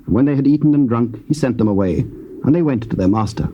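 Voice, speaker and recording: A man narrating scripture in a measured, stately reading. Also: mid-range voice, expressive, muffled recording. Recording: faint tape hiss.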